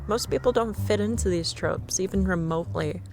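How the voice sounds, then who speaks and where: A young woman in a scripted ASMR roleplay, playing a shy, nervous stranger who rambles and laughs nervously in a soft voice. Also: mid-range voice, brisk, over music.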